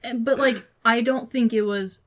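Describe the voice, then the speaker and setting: A woman's voice: a little high, steady tone, moderate pace. A woman co-hosting a podcast in casual conversation, speaking in an informal, opinionated way. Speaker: podcast co-host. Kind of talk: casual chat, film and game discussion.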